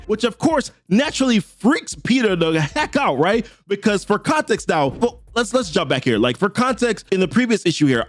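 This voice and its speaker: A young man with a Jamaican accent, recapping a comic story in a casual, slangy way. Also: a little high. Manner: chatty, slangy, reads character lines in quick quotes. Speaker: comic book channel host.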